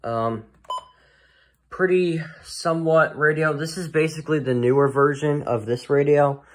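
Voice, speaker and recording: A man giving a casual, unscripted show-and-tell as a hobbyist presenter, halting and often trailing off, recorded indoors at home. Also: fairly high pitch. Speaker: hobbyist presenter of a weather radio collection.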